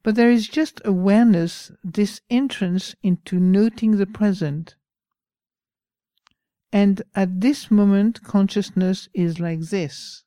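Woman with a French accent, meditation teacher, slow and mellow, dry close sound. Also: medium pitch, steady tone, no room sound. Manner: slow, pausing, gentle. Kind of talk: dharma talk.

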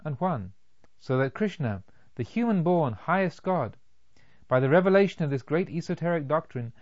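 A man narrating a literary audiobook in a measured, formal, expository way. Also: on the high side, very animated, a little mellow, dull audio.

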